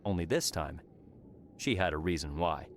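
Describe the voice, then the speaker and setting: Very deep-voiced male audiobook narrator. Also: moderate pace. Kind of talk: scripted story narration. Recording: clearly noisy background.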